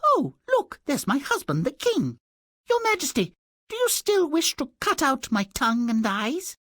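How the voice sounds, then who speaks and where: A young storybook maiden for a game, sweet and long-suffering. The speech is dainty and polite with a very wide lilt, recorded dry. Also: male voice, very high-pitched, huge pitch swings, a little slow, pushed and bright, no echo, exaggerated voice. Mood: sweet, blithe. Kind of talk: theatrical video game fairy tale acting.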